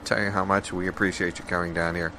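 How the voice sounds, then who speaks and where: A man playing a courteous, hurried moderator in an improvised parody panel show. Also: low voice, flat delivery, pushed and bright, a little hiss or hum. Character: fast-talking, courteous male roundtable moderator.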